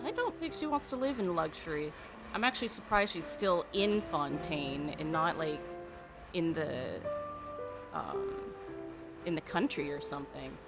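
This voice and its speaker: A woman's voice: deep voice, animated, a little slow. A woman game streamer giving casual let's play commentary, chatting back at the characters as she goes. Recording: loud game music behind voice. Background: game music.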